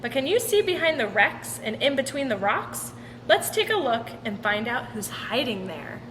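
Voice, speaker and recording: A woman reading a picture book aloud for children in an animated, sing-song storytelling manner. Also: a little high, normal speed. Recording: roomy long reverb with background noise.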